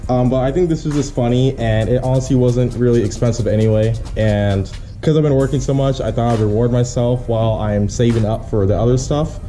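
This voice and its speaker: A man's voice: mid-range voice, steady tone, fairly quick, few pauses, mellow, soft background music. A man talking in a casual, laid-back, slangy way as a car vlogger explaining a hands-on job. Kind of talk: casual how-to chat.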